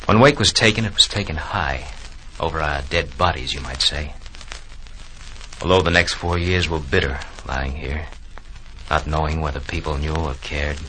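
An actor playing a dead young Marine who looks back wistfully and wryly, in 1940s radio verse style. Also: male voice, rumbling low, bright, forceful tone, full character voice. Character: dead young Marine of Wake, reflective, wry. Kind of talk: 1940s radio acting, blank verse monologue. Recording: noisy 1940s radio recording.

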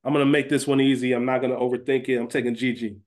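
A man's voice: medium pitch, little pitch movement, racing. A man co-hosting a podcast, chatting informally and conversationally. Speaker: podcast co-host. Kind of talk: casual banter about a TV series fantasy draft.

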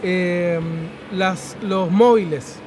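A playful man joins the chat as co-host, cracking jokes. His voice runs high for a man, with faint noise in the background. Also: fairly even intonation, extremely slow. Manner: joking, playful. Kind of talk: casual podcast chat.

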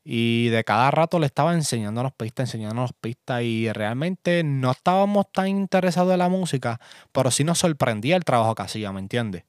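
A young man from Puerto Rico with a Puerto Rican accent, hosting a casual solo podcast from his home studio in a relaxed, chatty way with lots of fillers. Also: mid-range voice, brisk, no echo. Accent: Puerto Rican Spanish. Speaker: podcast host and music producer.

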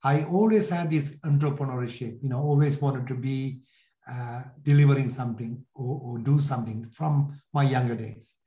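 Mellow older Sri Lankan man, echoey room. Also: medium pitch, moderate pace. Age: 60s. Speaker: podcast guest, entrepreneur. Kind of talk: conversational interview, telling life story.

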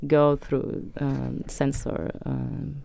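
A woman's voice: rumbling low, level tone, extremely slow, creaky, mellow. A young woman from Brazil, Portuguese her first language, chatting as an interview guest in a hesitant way with many fillers and restarts. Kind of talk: casual interview chat.

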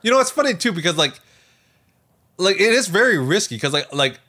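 A man co-hosting a casual tech chat in an animated way, often acting out imagined quotes. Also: high-pitched, a little fast. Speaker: podcast co-host.

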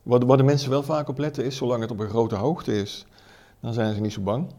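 A man's voice: mid-range voice, steady tone, fast talker, mellow. A man explaining things conversationally as an expert interviewee, with emphatic repetition and colloquial asides. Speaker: military historian, expert interviewee.

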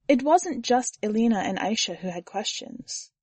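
A woman podcast editor reading a short story aloud in a measured, even storytelling manner on a close studio mic. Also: fairly high pitch, slightly rough, dry recording. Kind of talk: fiction audiobook narration.

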